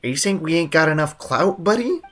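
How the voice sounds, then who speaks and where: A man's voice: a little high, animated, brisk, crowd noise. A man live-streaming a game, commenting in a joking, playful way with lots of slang.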